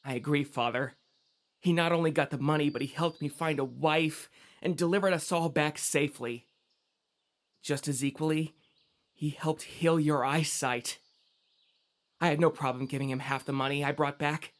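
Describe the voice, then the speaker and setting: A man's voice: on the high side, fairly even intonation, moderate pace, long pauses, lightly acted. Man acting an earnest, dutiful young son. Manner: earnest, respectful. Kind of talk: religious audio drama acting.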